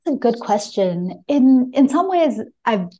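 A woman's voice: fairly high pitch, very animated, a little slow, warm tone, poor audio. A woman author answering interview questions in a reflective, hesitant way, searching for her words as she goes. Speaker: debut memoir author, guest. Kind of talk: reflective interview answers, with a short reading at the start.

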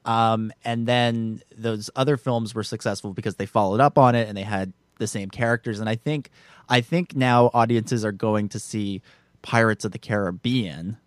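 A man's voice: fairly low pitch, fairly even intonation, moderate pace, no echo, clean studio sound. A man co-hosting a casual film discussion, hesitantly repeating and rephrasing his words as he thinks aloud. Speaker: podcast co-host.

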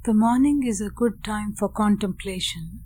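A woman leading a guided meditation in a measured, deliberate way, speaking in short phrases with long pauses. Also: mid-range voice, mellow. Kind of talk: guided meditation with Sanskrit chanting and teaching.

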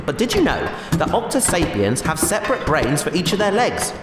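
A man playing an earnest, soft-hearted, talkative spaceship crewman in a sci-fi audio drama, wry and argumentative. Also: a little high, sing-song, moderate pace, music in the background. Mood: defensive.

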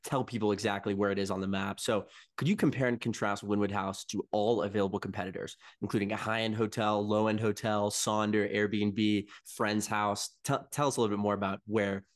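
A man hosting a podcast, reading a scripted intro and asking brisk, eager interview questions. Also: fairly low pitch, flat delivery. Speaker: podcast host.

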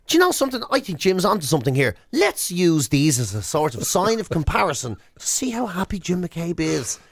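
Irish male radio co-host, fairly high voice, dry close studio sound, playful ribbing and animated storytelling. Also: fairly quick, no room sound. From Ireland. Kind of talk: casual bantering radio chat.

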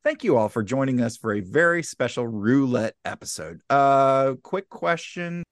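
A man's voice: medium pitch, animated, normal speed, no echo, studio quality. A man who is a comedian and writer, joking and laughing during podcast banter. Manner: jokey, laughs often.

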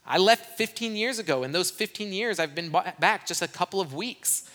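Young guy pastor from brazil, high forceful voice, telling his story kind of sheepish and honest, church hall echo. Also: animated, moderate pace. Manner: candid, self-deprecating storytelling. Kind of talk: church missions report talk. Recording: long reverb from a church hall.

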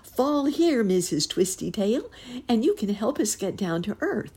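A woman's voice: on the low side, animated, takes it slowly, dark tone, slightly rough, pitched above the performer's own voice, exaggerated voice. Fussy storybook housekeeper-nurse, read by a woman, fretting, sighing, lifted voice. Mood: calling out. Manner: fretful, sighing.

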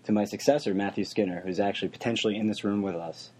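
Podcast host, male, fast joking delivery, slightly worn voice, fairly low pitch. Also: steady tone, darkish tone, husky. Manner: quick, joking. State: losing his voice. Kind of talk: casual podcast banter.

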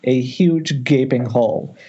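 Casting: male narrator for screenplay directions, husky, wry and informal, muffled internet-call sound. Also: medium pitch, unhurried, warm tone. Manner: wry, jokey ad-libs. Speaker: narrator reading stage directions. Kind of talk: loose, informal script narration at a table read.

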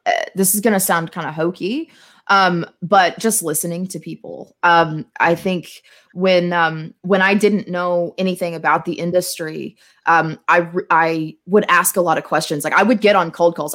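A woman's voice: fairly low pitch, fairly bright tone. A woman chatting casually as a podcast guest, speaking in a candid, earnest way. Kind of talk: casual chat.

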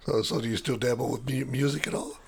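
A man's voice: mid-range voice, steady tone, fast talker, vocal fry, darkish tone, husky. An older man hosting a community interview show, friendly and reading scripted introductions. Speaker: show host.

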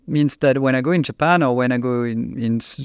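A man with a French accent, a company CEO, answering interview questions in a halting, searching way with frequent restarts. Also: mid-range voice, clean tone, no echo, clean studio sound. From France. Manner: halting, restarts often, searches for English words.

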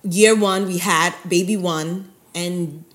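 Low-voiced New woman co-hosting. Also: fairly even intonation, takes it slowly. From Brooklyn, New York. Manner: warm, faith-filled, chatty, frequent "by God's grace". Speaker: co-host of a ministry show. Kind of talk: casual conversational talk.

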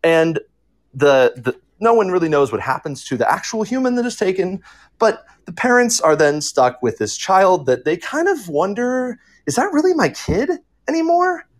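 A man's voice: sits high, big pitch swings, normal speed. A man speaking informally as a writer on a podcast panel, explaining in an earnest, emphatic way.

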